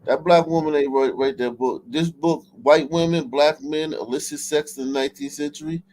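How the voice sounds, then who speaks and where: A man's voice: a little high, fairly even intonation, normal speed, a little mellow, rough recording. A man hosting a livestream in casual, profane chat, often repeating and restarting his phrases. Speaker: livestream host. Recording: clear background noise.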